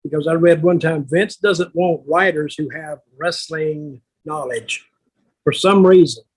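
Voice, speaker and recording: An older man with a raspy voice tells wrestling stories casually as a veteran, bluntly and with salty language. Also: fairly high pitch, low-quality recording. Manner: blunt, salty, acts out remembered exchanges. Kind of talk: casual anecdotal storytelling.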